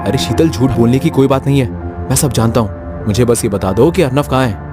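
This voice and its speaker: A man's voice: medium pitch, fairly quick, pitched above the performer's own voice, natural voice. A man voicing an anxious, insistent young college student in a horror cartoon, agitated and demanding. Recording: music behind the voice.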